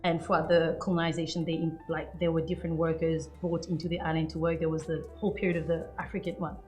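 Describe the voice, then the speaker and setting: A woman's voice: low-pitched, level tone, fast talker, a little mellow, soft background music. A woman in her thirties from Mauritius with a Mauritian accent, a native French and Creole speaker, telling her story as a guest in a casual interview. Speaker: wine professional guest.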